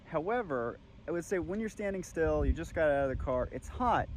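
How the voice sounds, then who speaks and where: A man's voice: fairly high pitch, animated, fairly quick, rough recording. A man explaining things to camera as a video journalist, in an on-location presenting style. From desert region. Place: outdoors in open desert, sometimes near vehicles. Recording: outdoor field recording with clear ambient noise.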